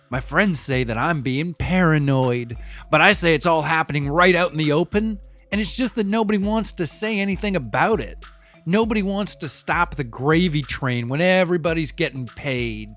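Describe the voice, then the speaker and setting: An actor playing a young, streetwise city cyclist who talks in a rambling, conspiratorial way with slangy, profane street talk, over a soft music bed. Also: male voice, a little high, close to an everyday voice. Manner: rambling, conspiratorial, shifts between wry and fired-up. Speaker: local bike courier interviewed. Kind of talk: audio drama monologue.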